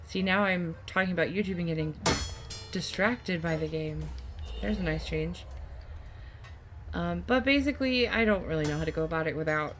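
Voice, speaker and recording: A woman's voice: on the low side, moderate pace, long pauses. A woman doing casual gameplay commentary as a YouTuber, rambling along in a chatty, enthusiastic way. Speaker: gaming YouTuber. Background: game music.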